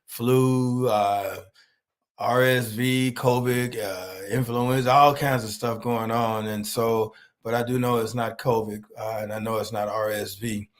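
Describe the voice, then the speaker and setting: Hoarse man preaching slowly. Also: medium pitch, fairly even intonation. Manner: greets viewers by name, slow halting delivery with many fillers. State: hoarse, nasal from a cold. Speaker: pastor. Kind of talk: online sermon.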